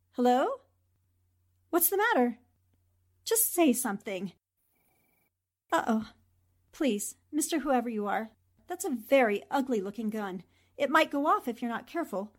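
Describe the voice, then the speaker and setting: A woman's voice: sits high, expressive, normal speed, long pauses. A woman playing a plucky, wisecracking young newspaperwoman sleuth in a 1940s-style radio drama, with a flippant, arch way of talking. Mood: nervous, flippant. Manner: talks to herself, flippant, arch.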